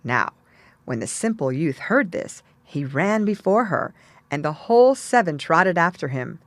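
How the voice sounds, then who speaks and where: A woman's voice: lowish voice, big pitch swings, a little slow, no echo. A woman reading a fairy tale as a storyteller, in scripted narration.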